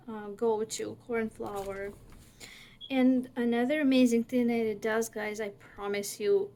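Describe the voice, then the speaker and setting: Slow, chatty woman with a raspy voice. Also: fairly high pitch, darkish tone. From northern United States. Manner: chatty, many fillers. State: raspy from wildfire smoke. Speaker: cooking video host. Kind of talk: casual cooking tutorial.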